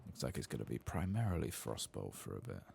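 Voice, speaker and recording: A man giving soft-spoken ASMR commentary over video game play, hushed and at times slipping into a whisper close to the mic. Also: a bass voice, very animated, quick pace, vocal fry, slight background noise. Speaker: host of a gameplay channel.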